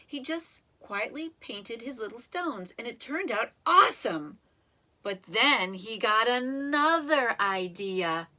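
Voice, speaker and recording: A woman reading a children's story aloud in an expressive, animated way, with dramatic emphasis and drawn-out words. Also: fairly high pitch. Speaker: storybook reader.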